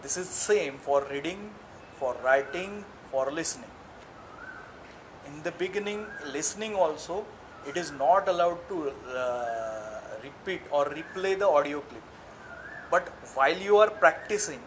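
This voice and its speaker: A man's voice: a little high, a little slow, slight background noise, low-quality recording. A man with an Indian accent, a native Marathi speaker, giving earnest, repetitive exam advice in a live seminar. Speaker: IELTS tutor. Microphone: live stream audio.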